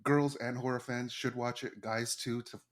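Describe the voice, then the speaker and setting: A man co-hosting a podcast in casual chat, excitable and self-deprecating, quick to laugh. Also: mid-range voice. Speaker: podcast co-host.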